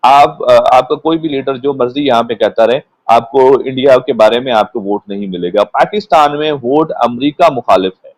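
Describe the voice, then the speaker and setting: A man's voice: medium pitch, moderate pace, hardly any pauses, dry recording, low-quality recording. Pakistani man giving dry-sounding political analysis. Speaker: guest political commentator. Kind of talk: unscripted political analysis in a panel discussion.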